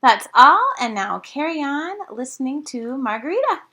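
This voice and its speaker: A woman from a volunteer staff giving an earnest, slightly halting spoken note to listeners, with a few fillers. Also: high voice, very animated, sharp tone, a little hiss or hum. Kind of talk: informal spoken announcement.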